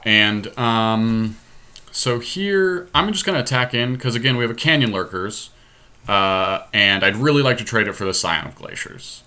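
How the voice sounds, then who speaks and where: A man with a fairly low voice and a bright, forward edge hosts a card game session. He thinks aloud casually, working through each choice in loose, slangy language. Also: expressive, normal speed. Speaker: podcast host playing a card game.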